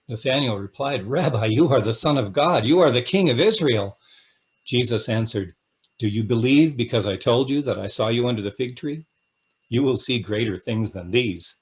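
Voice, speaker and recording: Husky male scripture reader. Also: mid-range voice. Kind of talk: scripture reading in a church service.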